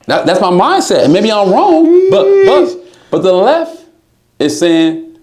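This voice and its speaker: A man's voice: high voice, wildly swooping pitch, slow pace, a little mellow. A man talking as a podcast guest, emphatic and motivational, often repeating words as he drives his point home. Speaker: guest, insurance business owner. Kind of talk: casual podcast conversation.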